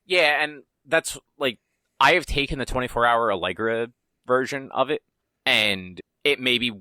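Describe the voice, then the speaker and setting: A man's voice: medium pitch, unhurried, pushed and bright, no room sound. A man co-hosting a podcast, talking in a laid-back, rambling way and swearing casually. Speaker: podcast co-host. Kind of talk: casual chat.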